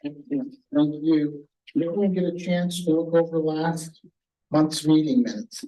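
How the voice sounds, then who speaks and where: A man chairing a city advisory board meeting, running through procedure with a slightly hoarse voice. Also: fairly high pitch, fairly even intonation, unhurried, dark tone, poor audio. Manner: procedural, methodical.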